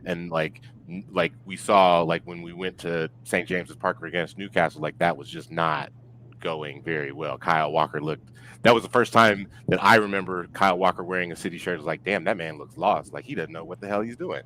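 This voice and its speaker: Very low-voiced man in casual sports talk. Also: fairly even intonation, a little fast, bright, forceful tone, a little hiss or hum, rough recording. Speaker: podcast guest discussing football.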